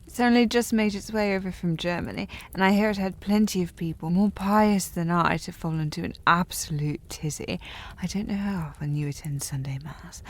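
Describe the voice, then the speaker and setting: A woman's voice: lowish voice, normal speed. A woman playing a tipsy, haughty, teasing young noblewoman in an intimate period audio drama, with a slightly slurred delivery and an affected, sliding accent. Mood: playful, giddy. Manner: slightly slurred drunk delivery, playfully imperious, formal wording.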